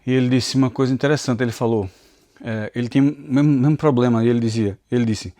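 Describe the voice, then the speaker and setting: A man's voice: medium pitch, fairly even intonation, fast, mellow, no room sound, clean studio sound. A man with a mixed Recife and inland Pernambuco accent, giving an earnest, confessional devotional talk as a channel host, recorded on a phone camera. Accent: Recife, Brazil, mixed with inland Pernambuco. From Northeast Brazil. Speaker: Bible teacher and channel host.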